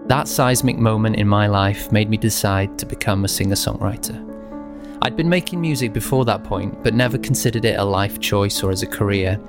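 A man hosting a podcast, reading a calm scripted intro and then chatting with a guest in a measured, friendly way. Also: lowish voice, music in the background. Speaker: podcast host.

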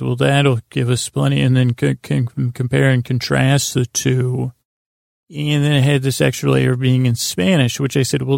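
Man, very gravelly creaky voice, flat even pitch, meandering hesitant delivery, soothing sleep podcast monologue. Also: no echo, clean studio sound. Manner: meandering, hesitant, halting, trailing off into tangents; lulling, soothing. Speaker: podcast host.

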